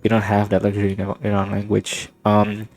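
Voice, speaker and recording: Soft-spoken young man, halting and reflective. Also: lowish voice, monotone, dry recording, soft background music. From Indonesia. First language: Indonesian. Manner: thoughtful, halting, with many restarts and fillers. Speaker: gaming vlogger. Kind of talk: rambling reflective monologue over gameplay.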